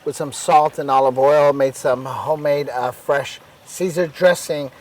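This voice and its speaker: Relaxed chef with a fairly high voice. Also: male voice, a little slow. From Iran. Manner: relaxed, explains dishes step by step with fillers. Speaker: guest chef. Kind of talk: casual cooking chat. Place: outdoors at a villa table.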